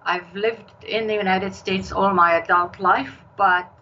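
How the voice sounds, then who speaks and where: An older woman with an Israeli accent, a novelist talking in an interview in a measured storytelling manner. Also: medium pitch, fairly even intonation, muffled recording. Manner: slow, measured storytelling with occasional restarts. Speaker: novelist guest. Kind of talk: interview conversation.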